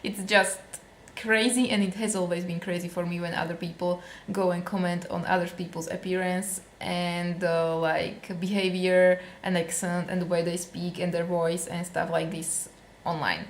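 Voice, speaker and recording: A woman's voice: on the low side, level tone, moderate pace, few pauses, roomy sound, slight background noise. A young woman from Slovakia with a Slovak accent, venting casually to camera in a vlog, with many fillers. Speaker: vlogger.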